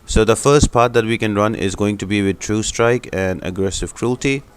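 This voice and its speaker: A man's voice: lowish voice, normal speed, a little hiss or hum. A man hosting a gaming channel, giving explanatory step-by-step commentary for a video game guide. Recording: music behind the voice. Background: music.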